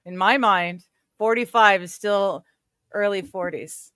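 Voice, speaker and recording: A woman's voice: medium pitch, slow, a bit bright, clear, resonant tone, dry recording, studio quality. A woman in her forties chatting casually with friends on a stream, laughing often and poking fun at herself. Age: 40s. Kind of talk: casual chat.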